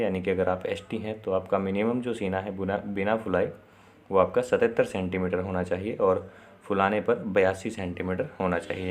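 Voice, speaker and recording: Low, monotone man explaining step by step. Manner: instructional, repeatedly checking in with short confirmations. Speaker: presenter of an exam and job information video. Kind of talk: informal explainer, addressing viewers directly.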